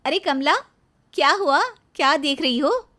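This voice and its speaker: A woman plays an eager young daughter-in-law for a cartoon story. Her voice sits high and bright with earnest feeling. She sounds determined and expressive, a city-bred woman set on her plans. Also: a little slow. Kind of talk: Hindi cartoon story dialogue.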